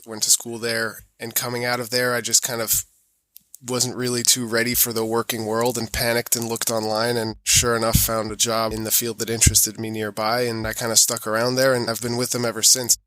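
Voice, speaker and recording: Bright, even-toned American man in conversation. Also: mid-range voice, fairly quick, no room sound, low-quality recording. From born in New York, raised mostly in Europe. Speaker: podcast guest, sustainability auditor. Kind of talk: conversational interview answers.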